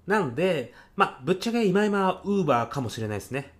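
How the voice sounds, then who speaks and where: A man's voice: sits high, big pitch swings, brisk. A man acting as an earnest, overzealous young salesman who moonlights as a food courier in a comic radio drama, speaking in eager, casual-polite salaryman style. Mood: earnest, excited.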